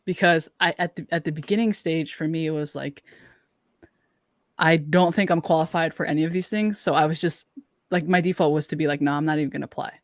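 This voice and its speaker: A man's voice: on the high side, no echo, clean studio sound. A young man co-hosting a podcast, talking informally and reflectively, as if thinking aloud. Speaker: podcast co-host. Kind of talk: casual conversational podcast talk.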